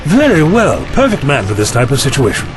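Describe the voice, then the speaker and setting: A man's voice: mid-range voice, huge pitch swings, a little fast, music in the background. A man playing a courteous, wry time-travelling eccentric with lost memory, speaking formally in an audio drama. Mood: pleased recognition. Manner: formal, wordy, inquisitive.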